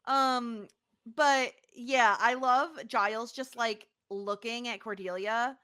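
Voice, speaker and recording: Woman, podcast host, sharp bright voice, slightly high pitch, casual chat, animated retelling. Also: slow pace.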